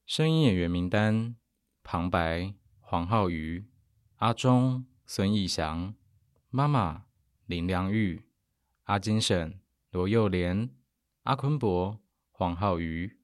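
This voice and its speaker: Slow, deep-voiced male announcer. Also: animated, dry recording, clean studio sound. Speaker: school principal presenting the show. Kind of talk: scripted announcement of cast credits.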